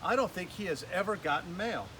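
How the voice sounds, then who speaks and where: A kind mother snow leopard from a storybook, read by a man. The voice is bright and a little high, patiently teaching and encouraging. Light ambient noise is behind it. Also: expressive, fairly quick, poor audio. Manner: encouraging, instructive. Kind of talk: children's storybook read-aloud.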